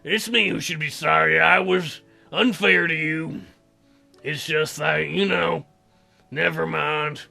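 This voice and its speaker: A man voicing a stubborn, hurt teenage boy with a strained, gravelly voice, reading visual novel lines. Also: on the high side, fairly even intonation, slow, sharp tone, soft background music, exaggerated voice. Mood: apologetic.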